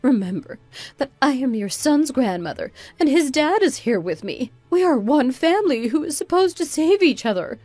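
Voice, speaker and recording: A woman's voice: high-pitched, big pitch swings, moderate pace, darkish tone, dry recording, faint music behind. A woman playing a manipulative, self-pitying older mother-in-law who wheedles in a melodramatic radio drama. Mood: pleading, indignant. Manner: wheedling, guilt-tripping. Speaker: voice actor in an online radio drama.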